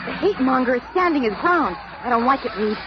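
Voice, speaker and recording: Indignant superheroine, very high lively voice, dramatic, scornful, muffled old radio play. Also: female voice, a little fast, over music, crowd noise. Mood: urgent. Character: indignant, sharp-tongued young superheroine.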